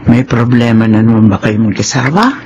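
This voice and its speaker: A woman's voice: extremely low pitch, fairly quick, highs cut off, background noise. An actress playing a concerned older mother in a Filipino radio drama, speaking solicitously. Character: concerned older mother of a grown married son.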